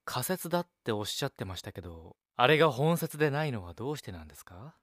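A man's voice: medium pitch, sing-song, fast, a bit bright, no room sound, studio quality. An actor playing a courteous, attentive young male café waiter in an audio drama, with polite, deferential speech. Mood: curious. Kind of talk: Japanese audio drama acting.

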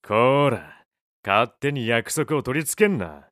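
A blunt, grumbling café owner. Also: male voice, mid-range voice, sing-song, slow, fairly bright tone, no room sound, clean studio sound. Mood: annoyed. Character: gruff, grumbling male café owner, rough-edged, vain. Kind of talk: Japanese audio drama acting.

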